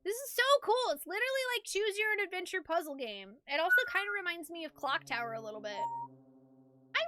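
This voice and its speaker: Very high-voiced woman narrating a game. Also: a little hiss or hum. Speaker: streamer, narrator of a game playthrough. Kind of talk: expressive storybook-like game narration with casual chat. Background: loud game music.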